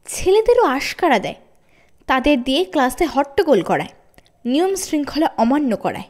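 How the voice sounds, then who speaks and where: Storybook schoolmistress, played by a woman reader: high-pitched, self-righteous, accusing, with animated swings. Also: normal speed. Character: stern, accusing woman schoolteacher, indignant and spiteful.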